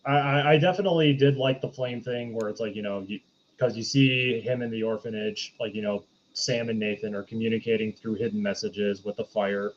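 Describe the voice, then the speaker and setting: A man's voice: lowish voice, steady tone, brisk, a little hiss or hum. A man chatting casually as a review panelist, often restarting his sentences, on slightly dull lo-fi audio. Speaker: podcast panelist reviewing films.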